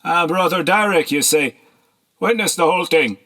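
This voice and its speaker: A man's voice: on the high side, normal speed, sharp tone, voice pushed up. A man reading a storybook, voicing a cold, sly nobleman on horseback who speaks in a brusque, commanding, probing way. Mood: sly interest.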